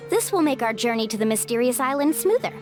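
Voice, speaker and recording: Casting: bubbly, confident young guide, a woman voicing her, lively pitch, light music behind. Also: fast talker, natural voice. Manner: upbeat, playful, confident. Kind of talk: video game voice acting. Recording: game audio with music behind.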